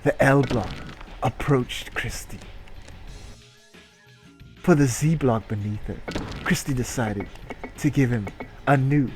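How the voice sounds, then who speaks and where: A man's voice: mid-range voice, takes it slowly, long pauses, a little mellow. A man giving a mock-dramatic story reading with crude, irreverent comic asides. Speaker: reader and comedic streamer. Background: music.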